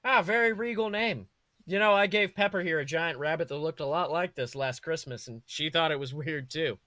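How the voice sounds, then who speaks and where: A man plays a wealthy, wisecracking superhero in a story. He banters casually and shows off. The voice is bright and fairly high for a man, recorded close and dry. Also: normal speed, dry recording. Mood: teasing warmth. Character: cocky, joking billionaire superhero man.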